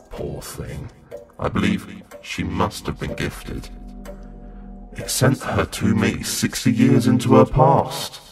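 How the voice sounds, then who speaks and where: An actor playing a sinister, devilish male villain in an audio drama, smug and taunting. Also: deep voice. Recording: dramatic music under the voice.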